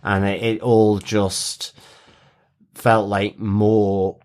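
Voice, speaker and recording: A man's voice: on the low side, level tone, drawn-out words, a little mellow. A man co-hosting a podcast, casually reviewing a TV episode and often searching for his words. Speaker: podcast co-host.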